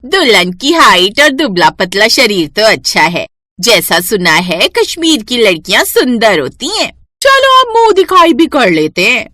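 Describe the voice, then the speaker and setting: A woman's voice: on the high side, big pitch swings, measured pace, bright, forceful tone, dry recording, clean studio sound, heavily acted. A woman voicing a gossipy village neighbour in a cartoon story, exclaiming and teasing with exaggeration. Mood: amused mocking.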